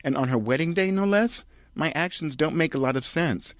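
A man's voice: on the high side, normal speed, no room sound, studio quality, pitched above the performer's own voice, lightly acted. A man voicing a ditzy, whiny young woman, a bride who talks in slangy valley-girl style. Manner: ditzy, filler-laden slang.

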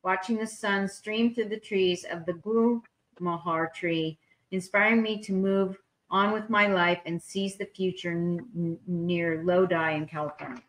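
A woman's voice: fairly low pitch, steady tone, a little slow, poor audio. A woman on a video call reading artwork descriptions aloud at a public meeting, stumbling over unfamiliar names. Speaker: public arts commissioner.

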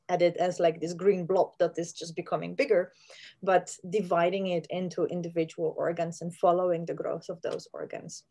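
A woman's voice: lowish voice, a little mellow. A woman, a scientist explaining her research in a virtual interview, hesitant with many fillers. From Poland. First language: Polish. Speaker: plant scientist guest. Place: home room with plants. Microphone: video call.

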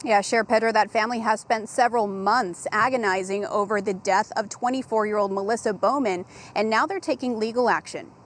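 A woman field reporter delivering a live TV news report outdoors with a handheld microphone, in a measured, clear broadcast manner. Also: mid-range voice, slight background noise. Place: outdoors at a rehab facility at dusk. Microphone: handheld station mic.

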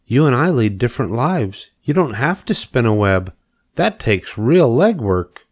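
A man voicing a clever, patient female spider in a storybook, measured and matter-of-fact. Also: medium pitch, big pitch swings, a little mellow, voice pushed up. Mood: coaching. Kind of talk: storybook character reading.

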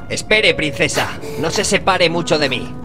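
A man's voice: fairly high pitch, big pitch swings, moderate pace, over music. A man playing a protective, vigilant young swordsman in a video game dub, serious and guarded, with a Castilian Spanish accent. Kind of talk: dramatic video game dubbing.

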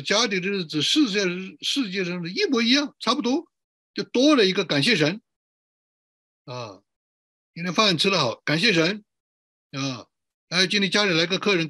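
A male pastor giving a sermon in an emphatic way, building up repeated lists and rhetorical questions, with a husky voice. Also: sits high, sharp tone, no room sound. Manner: emphatic, repetitive listing.

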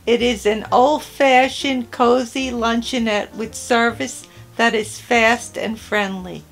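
An older woman hosting a cooking and travel vlog, narrating in a careful, gentle scripted voice-over. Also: on the high side, fairly even intonation, slow pace, creaky, soft background music. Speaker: channel host.

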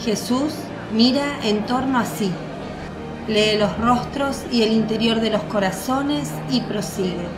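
A woman narrating a scripted radio drama in a measured, formal storytelling manner, with an echoing sound. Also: on the high side. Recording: roomy, echoing voice track. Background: faint music.

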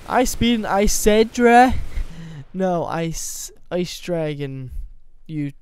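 A young man with a slightly high, smooth yet hoarse-edged voice rambles through casual gameplay commentary into a close desk mic. The sound is dry and close. He mocks himself and breaks into sudden loud laughing bursts. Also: animated, slow pace, no echo. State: voice going hoarse. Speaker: gaming YouTuber. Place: small room at home.

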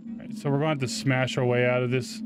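A man giving casual, excitable let's play commentary, talking along with the action as he plays. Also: mid-range voice, fast, over music. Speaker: gaming video host.

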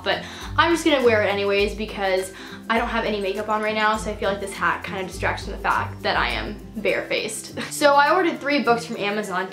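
A woman's voice: mid-range voice, a bit bright, reverberant. A teenage girl chatting in a casual shopping haul vlog, gushing and full of fillers, a little stuffed up and losing her voice. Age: teens. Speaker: vlogger. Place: bedroom.

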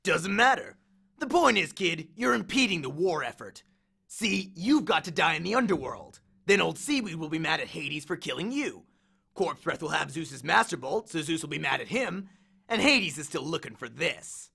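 Guy doing a cocky biker war god bully, gravelly n forceful, slangy taunts, audiobook style. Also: fairly high pitch, very animated, normal speed, exaggerated voice. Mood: gleeful, taunting. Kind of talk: audiobook character voice.

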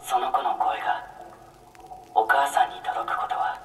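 A man playing a solemn teller of a sad fairy tale in a video game, hushed and deliberate. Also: mid-range voice, little pitch movement, close to an everyday voice. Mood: sorrowful. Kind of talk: slow storybook narration. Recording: echoey, distant voice.

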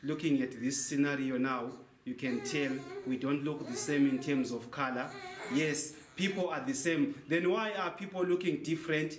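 A man's voice: mid-range voice, normal speed. A young man working as a tour guide, giving a guided cave tour talk. He explains things by asking a question and then answering it himself. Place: large cave, indoors. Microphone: handheld mic, camera a few meters away. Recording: echoey cave, faint noise.

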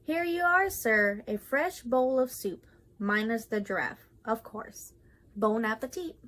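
A woman's voice: on the high side, a little slow, fairly bright tone. A woman voicing a fussy, proud male waiter in a storybook, speaking formally and eager to placate. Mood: obliging.

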